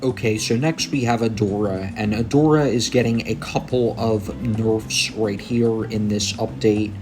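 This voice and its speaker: A man's voice: fairly low pitch, fairly even intonation, unhurried. A young man giving gameplay commentary on patch notes, sounding tired and a little under the weather, with frequent ums. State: tired, coming down with a cold. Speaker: gaming YouTuber. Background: game music.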